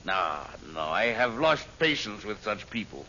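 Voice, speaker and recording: Forceful, ruthless radio villain. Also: male voice, on the low side, big pitch swings, a little slow, exaggerated voice. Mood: impatient, commanding. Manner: imperious, scornful, barking commands. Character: ruthless, contemptuous male villain bent on power. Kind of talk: old-time radio melodrama acting. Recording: muffled, hissy old radio recording.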